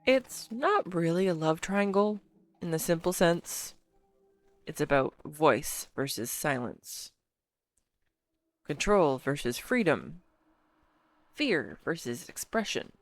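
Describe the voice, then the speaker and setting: A woman playing an earnest, bashful, talkative girlfriend in an intimate audio roleplay, speaking straight to the listener in a halting, teasing manner. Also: low voice, sing-song, dry recording, full character voice. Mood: earnest, absorbed.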